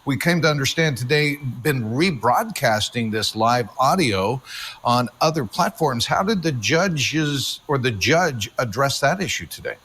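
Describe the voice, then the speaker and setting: A man's voice: medium pitch, animated, a little slow, a bit bright. A man anchoring the news in a scripted presenting style. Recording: news clip with faint background noise.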